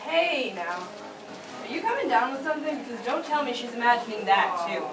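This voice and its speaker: A woman as a high-voiced, excitable teenage prankster boy. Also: brisk. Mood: teasing. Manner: excitable, stammering. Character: mischievous, panicky seventeen-year-old boy, a joking prankster. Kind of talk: fan fiction read aloud at a convention panel. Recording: echoey noisy panel room, distant mic, crowd.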